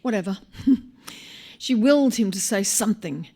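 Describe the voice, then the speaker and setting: An Australian woman reading a short story aloud live, in an expressive, wry manner with dramatic pauses. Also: mid-range voice. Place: live on stage at a small venue.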